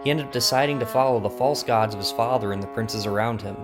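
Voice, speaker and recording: A man's voice: medium pitch, fast. A man narrating a scripted Bible story in a storytelling style. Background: plucked guitar music.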